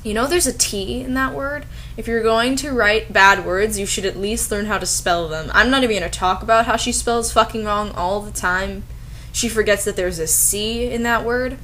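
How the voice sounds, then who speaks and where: A woman's voice: medium pitch, moderate pace, pushed and bright, a little hiss or hum. A woman giving sarcastic, exasperated comic commentary as she reviews and reads out bad fanfiction. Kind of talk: comic commentary over a dramatic reading.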